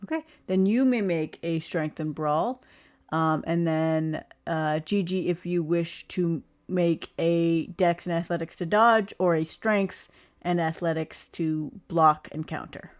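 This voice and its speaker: A woman running a tabletop roleplaying game as game master, explaining rules in a halting way. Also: lowish voice.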